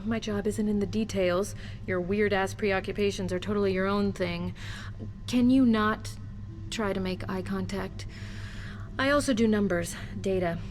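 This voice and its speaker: An actress playing a snarky, misanthropic sysadmin in a video game, flippant, deadpan and slangy. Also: female voice, medium pitch, slight background noise, close to an everyday voice. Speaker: systems administrator. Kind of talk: scripted video game dialogue.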